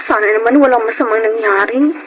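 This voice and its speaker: A woman's voice: a little high, a little fast, highs cut off, natural voice. A woman acting a respectful, eager young daughter in a Filipino radio drama. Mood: concerned, eager. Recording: noisy radio-drama broadcast.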